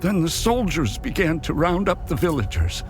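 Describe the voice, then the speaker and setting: An actor playing a protective, hopeful captive uncle in a video game cutscene, solemn and heartfelt. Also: male voice, on the high side, big pitch swings, normal speed, soft background music. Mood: grave, pained.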